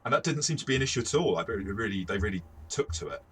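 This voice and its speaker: A man with a low, bright, forward voice chats as co-host of a game review. He explains his experience as a game master in a measured, conversational manner. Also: lively intonation, breathy edge. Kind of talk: casual discussion and review.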